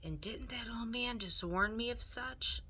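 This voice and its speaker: A woman's voice: on the high side, measured pace, sharp tone, slightly rough, pitched above the performer's own voice, heavily acted. A woman reading a storybook aloud, voicing a naive, uneducated servant girl who talks in a blunt, folksy, exclamatory way. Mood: startled. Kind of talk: storybook character read aloud.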